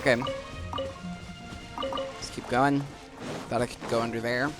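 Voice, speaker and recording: A man's voice: medium pitch, level tone, measured pace. A man giving casual commentary as the host of a gaming video. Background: game music.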